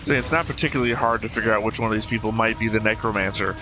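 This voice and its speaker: A man giving casual, dryly understated commentary as he watches an animated series. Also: on the low side, very fast. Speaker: reaction video host. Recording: music playing behind the voice. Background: show soundtrack music.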